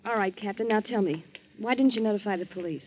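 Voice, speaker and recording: A woman's voice: mid-range voice, quick pace, lightly acted. Fretful young sweetheart in muffled old radio drama woman acting. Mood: alarmed, worried. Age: young adult. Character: young box office girl, fretful, fussing, devoted fiancee. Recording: muffled 1940s radio recording.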